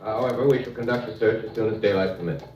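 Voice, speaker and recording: An actor playing a learned, skeptical astronomy professor in a 1930s radio drama, formal and sure of himself. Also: male voice, lowish voice, very fast, natural voice. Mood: dismissive. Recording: dull, echoey, distant 1938 radio recording.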